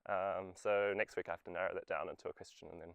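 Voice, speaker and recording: Low, creaky-voiced young man. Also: flat delivery, quick pace, a bit bright, dry recording. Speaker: student speaker at a community event. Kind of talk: informal short personal talk.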